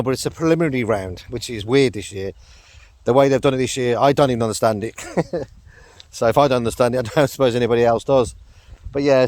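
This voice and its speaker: A middle-aged man with a croaky throat, chatting casually on a walk with his dog in a rambling vlog. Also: mid-range voice. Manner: rambling, chatty, lots of fillers and laughs. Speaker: vlogger.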